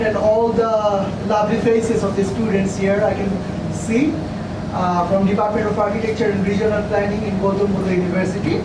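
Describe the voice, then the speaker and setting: A man from Bangladesh, a Bengali speaker, giving a formal guest lecture in a university hall, courteous and hesitant. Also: sits high. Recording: echoey hall, distant mic, loud background noise.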